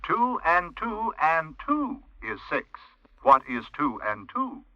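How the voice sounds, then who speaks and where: An actor voicing a chirpy computer tutor toy for children in a radio drama, singsong and over-enunciated. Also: male voice, fairly high pitch, wildly swooping pitch, takes it slowly, no room sound, highs cut off, full character voice. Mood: instructive, chirpy. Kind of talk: 1980s radio drama acting.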